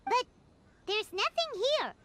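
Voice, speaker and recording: Squeaky, excitable tiny fairy girl. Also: slow, background noise, heavily acted. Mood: curious, chatty. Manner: speaks of herself in third person. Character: tiny childlike fairy companion, female, chirpy and excitable. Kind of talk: video game character acting.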